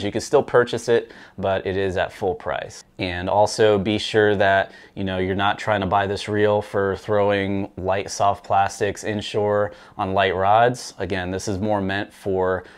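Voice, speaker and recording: Easygoing man with a low voice. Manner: relaxed, conversational, frequent fillers. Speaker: fishing gear reviewer. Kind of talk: casual product review to camera. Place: small indoor room with rod racks.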